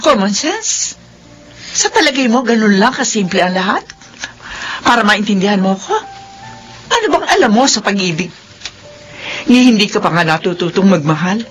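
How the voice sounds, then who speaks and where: A woman's voice: mid-range voice, sing-song, normal speed, long pauses, fairly bright tone, soft background music. A woman playing a defensive, exasperated middle-aged mother in a melodramatic drama, with an emphatic delivery. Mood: wounded, indignant. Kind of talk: melodramatic radio drama acting.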